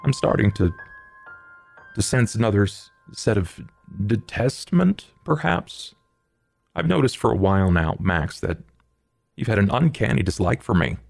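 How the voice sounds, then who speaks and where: Deep male voice, acted erudite vampire investigator, gravelly, formal, halting, analytical, tabletop roleplay. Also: lively intonation, darkish tone, no echo, faint music behind, heavily acted. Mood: cool, probing. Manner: measured, halting, ornate formal wording.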